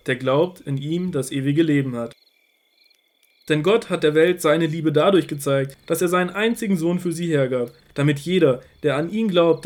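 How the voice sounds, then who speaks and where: A man acting as an earnest male teacher in a biblical audio drama. His voice is fairly high for a man. He speaks with authority, setting out each statement in a measured, emphatic way. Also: a little mellow, close to an everyday voice. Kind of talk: dramatized scripture audio play.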